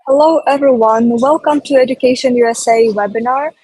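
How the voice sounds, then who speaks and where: Woman host opening a webinar, kinda husky, dry close sound. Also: on the high side, steady tone, a little fast, darkish tone, dry recording, rough recording. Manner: formal, welcoming. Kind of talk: formal webinar introduction.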